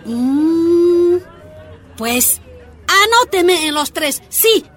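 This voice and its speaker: High-voiced, hesitant Bolivian mother. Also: expressive, drawn-out words, a bit bright, soft background music, full character voice. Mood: shy, willing. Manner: hesitant, earnest. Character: hesitant, anxious but willing miner's wife and mother. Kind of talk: radio drama acting.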